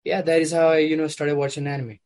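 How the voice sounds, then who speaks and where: A man from Nepal, a native Nepali speaker, chatting as an interview guest in a conference room, relaxed and filling gaps with "you know". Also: a little high, fast. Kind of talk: casual conversation. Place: conference room with poor acoustics.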